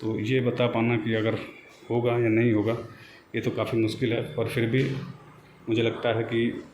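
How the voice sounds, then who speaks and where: Guy explaining updates to his viewers, kinda halting and repeats himself, mid pitch, noticeable noise on the audio. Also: completely flat delivery, darkish tone. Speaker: YouTube host giving exam and recruitment updates.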